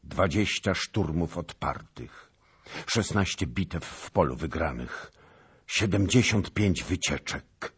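A man playing an exhausted, husky, ragged hussar knight. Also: very deep voice, animated, heavily acted. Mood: proud, loyal. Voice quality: weak, breathy. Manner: terse, halting answers.

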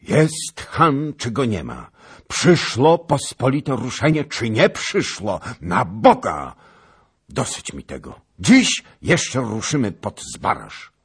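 A man voicing a fervent, commanding king in a dramatic story reading, with forceful delivery. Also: very deep voice, expressive, takes it slowly, husky, heavily acted. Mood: furious, resolute. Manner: forceful exclamations and urgent questions. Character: impassioned king, fervent, commanding, quick to roar.

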